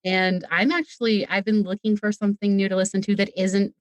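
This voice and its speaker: A woman's voice: mid-range voice, flat delivery, fairly quick, dry recording, rough recording. A woman chatting casually as a podcast guest, playful and self-deprecating, wandering off into wordy asides. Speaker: podcast guest, audio fiction creator.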